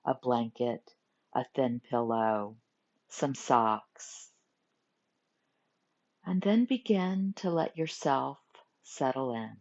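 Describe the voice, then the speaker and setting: Low-pitched woman guiding meditation slowly. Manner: gentle instructing in short phrases with pauses. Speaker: yoga nidra meditation teacher. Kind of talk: guided meditation. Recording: muffled lo-fi recording.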